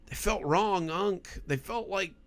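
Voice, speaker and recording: A man voicing a frightened, shaken teenage boy who talks haltingly, in a horror story. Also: high-pitched, a bit bright, pitched above the performer's own voice.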